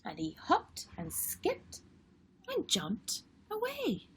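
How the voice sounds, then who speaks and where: A woman reading a children's storybook expressively as host, with dramatic pauses and emphasis. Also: very high voice, wildly swooping pitch, a little hiss or hum. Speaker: story-time host and reader.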